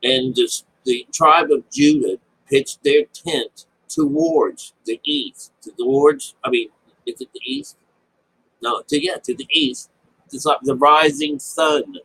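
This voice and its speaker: A man with a husky voice giving informal, unscripted Bible commentary, rambling, repeating phrases and checking in with his listeners. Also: on the high side, huge pitch swings, slow, fairly bright tone, a little hiss or hum, poor audio. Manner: rambling explanation with frequent check-ins like "all right?" and "okay?". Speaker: Bible teacher.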